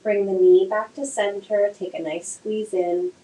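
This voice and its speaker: A woman teaching a slow yoga class with gentle, soothing cues, her voice a little nasal from a cold. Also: mid-range voice, steady tone. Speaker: yoga instructor. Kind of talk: guided yoga class instruction. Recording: echoey room, faint noise.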